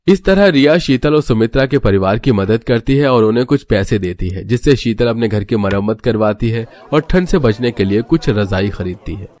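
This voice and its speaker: A man's voice: fairly low pitch, lively intonation, moderate pace, few pauses, darkish tone. A man narrator reading a scripted story in a storytelling style.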